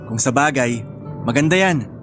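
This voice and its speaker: An actor plays a doting young man in love in a Filipino radio drama. He is teasing and utterly smitten with his girlfriend. Soft music sits faintly behind him. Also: mid-range voice, lively intonation, moderate pace, close to an everyday voice. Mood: tender. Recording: faint music under dialogue.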